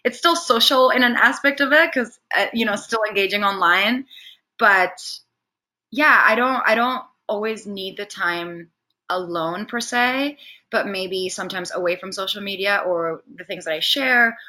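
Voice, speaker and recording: A woman from Venezuela with Spanish as her native language, talking casually and expressively as an interview guest. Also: medium pitch. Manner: chatty, expressive, quick to laugh. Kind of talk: casual conversational interview, personal stories.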